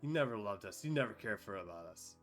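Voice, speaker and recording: A man voicing a furious, loyal male beast-clan lord who feels betrayed by his queen, shouting in a fan dub. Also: fairly low pitch, animated, quick pace, soft background music. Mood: raging. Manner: shouting, raging. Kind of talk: fan dub of an animated fantasy scene.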